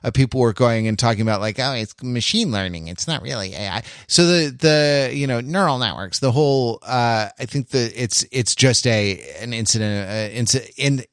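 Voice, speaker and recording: A man chatting casually as a podcast panelist, in a halting, wry and teasing way. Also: medium pitch, a bit bright, no echo, clean studio sound. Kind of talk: casual chat.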